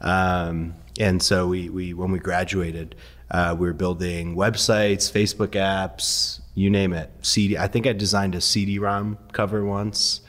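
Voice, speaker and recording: A man raised partly in Iran, first language Farsi, telling his story as a guest in a relaxed, laughing way with many ums. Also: low voice, a little slow, big-room sound. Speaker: guest, investor. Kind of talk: casual interview storytelling.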